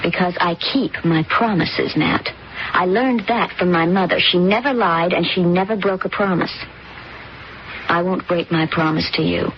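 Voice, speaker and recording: A woman's voice: lowish voice, moderate pace, slight background noise, natural voice. An actress playing a controlling young woman who plots a murder, in an old radio drama with dull lo-fi sound. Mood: persuasive. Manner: cold, controlling, persuasive. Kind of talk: 1970s radio drama acting.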